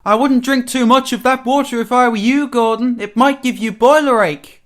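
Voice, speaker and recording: A man's voice: very high voice, moderate pace, fairly bright tone, pitched above the performer's own voice. A man voicing a cheeky, sly little male tank engine in a storybook, with a teasing, mock-innocent manner. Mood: cheeky warning.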